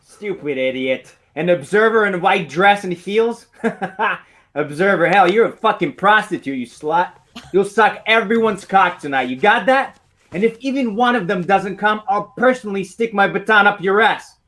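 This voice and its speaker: Jeering, brutal high-voiced riot cop acted by a man. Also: lively intonation, moderate pace, fairly bright tone. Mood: sneering contempt. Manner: jeering, crude, menacing. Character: brutal, sneering, foul-mouthed male riot policeman. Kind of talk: dramatic acting.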